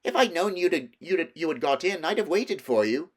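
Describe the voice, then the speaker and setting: A man voicing an innocent, chattering young girl with excited enthusiasm in a storybook. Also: a little high, normal speed, voice pushed up. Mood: happy, excited.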